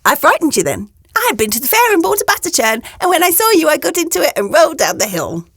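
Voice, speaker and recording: Female reader as little pig in a children's tale: squeaky high pitch, eager exclamations, childlike pluck, dry sound. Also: big pitch swings, fast, a bit bright, no echo, exaggerated voice. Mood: gloating. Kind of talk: children's storybook read-aloud.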